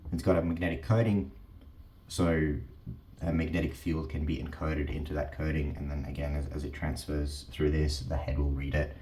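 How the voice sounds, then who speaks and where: Aussie guy, super low voice, explaining stuff casually, kinda halting, echoey room. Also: flat delivery, warm tone, slight background noise. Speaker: host of a teardown channel. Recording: roomy, echoing sound.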